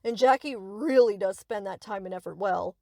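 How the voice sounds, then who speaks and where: A woman's voice: mid-range voice, moderate pace, vocal fry, warm tone, dry recording, clean studio sound, natural voice. A woman playing a young yandere captor in a scripted roleplay, speaking to the listener with cutesy, rambling, possessive affection. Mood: affectionate, rambling. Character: sweet, obsessive yandere best friend, young woman captor.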